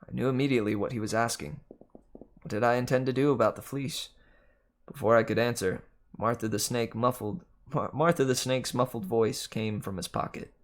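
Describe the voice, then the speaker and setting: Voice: mid-range voice, steady tone, normal speed. A reader narrating a children's novel aloud in a storybook style. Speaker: audiobook reader.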